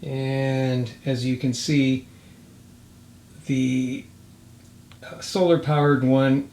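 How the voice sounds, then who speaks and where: A man giving a casual hands-on product demonstration, thinking aloud in a halting way. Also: medium pitch. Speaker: product reviewer.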